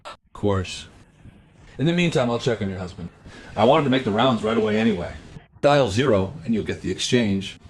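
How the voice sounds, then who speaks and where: An actor in a radio play as a courteous, matter-of-fact hospital doctor, recorded slightly distant. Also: male voice, lowish voice, expressive, normal speed, pauses often, natural voice. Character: courteous male hospital doctor on night rounds.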